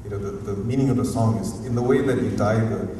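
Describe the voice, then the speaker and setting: A university professor from Hawaii with a Hawaiian accent, giving a rambling, informal, unscripted talk at a community forum and often chuckling. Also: male voice, fairly low pitch, fast, dark tone. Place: museum auditorium. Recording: echoey hall, distant mic, faint noise.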